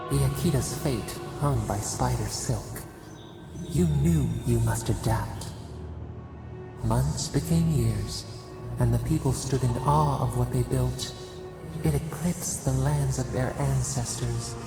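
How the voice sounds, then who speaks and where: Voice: mid-range voice, slow, gravelly. An actor playing an ancient, solemn nature spirit in a video game, speaking cryptic, poetic, portentous lines with an echo. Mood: portentous, reverent. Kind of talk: video game dramatic narration. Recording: echoing voice under prominent music. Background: loud music.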